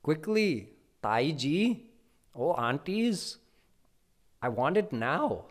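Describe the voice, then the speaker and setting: A man's voice: big pitch swings, extremely slow, full character voice. A man voicing the small boy Krishna, an impatient child who whines and insists. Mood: whiny, demanding. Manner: whining, insistent, high childish pleading.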